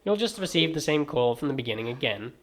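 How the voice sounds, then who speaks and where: A man's voice: medium pitch, sing-song, fast talker. A man with a transatlantic accent narrating a scripted game review in a wry, dry-humoured manner.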